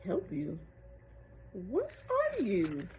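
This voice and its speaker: A woman voicing an excitable, curious and friendly little girl in a children's storybook. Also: medium pitch, extremely slow, faint music behind, heavily acted. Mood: startled.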